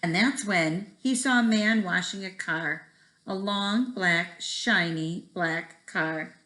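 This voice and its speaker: A woman's voice: fairly low pitch, takes it slowly, bright, forceful tone. A woman reading a children's picture book aloud expressively, with a rhythmic delivery that draws out repeated words. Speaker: read-aloud storyteller. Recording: echoey room.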